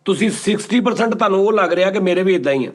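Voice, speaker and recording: ਜੋਸ਼ੀਲਾ ਪੰਜਾਬੀ ਲਹਿਜੇ ਵਾਲਾ ਬੰਦਾ. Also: male voice, high-pitched, animated, rapid-fire. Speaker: storyteller guest, addressed as ustad. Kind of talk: casual storytelling, reading out viewer messages.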